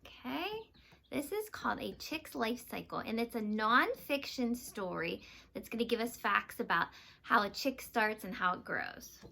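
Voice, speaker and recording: Lady teacher reading aloud to little kids, highish voice, really lively up and down, asks them questions. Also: a little slow, creaky, a little hiss or hum. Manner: simple explanations with frequent questions to young children. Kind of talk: children's read-aloud lesson.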